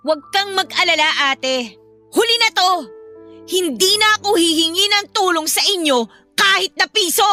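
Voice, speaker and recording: A woman plays a sulky younger sister in a Filipino audio drama. The voice is very high and bright with a Filipino accent, wounded and defiant, over soft music. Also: moderate pace. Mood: defiant, upset. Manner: aggrieved, sulky, defensive.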